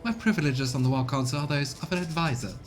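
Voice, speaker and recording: A man's voice: fast, natural voice. A man voicing an arrogant female inquisition agent in a video game, haughty, formal and condescending. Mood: cool, superior. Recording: rumbly background noise.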